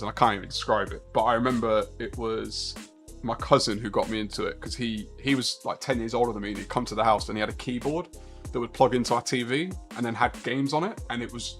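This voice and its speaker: A man's voice: mid-range voice, fast talker, fairly bright tone, faint music behind. A man in his thirties streaming a racing game live, chatting casually and rambling with a laugh now and then. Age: 30s. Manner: chatty and rambling, laughs often, talks to chat.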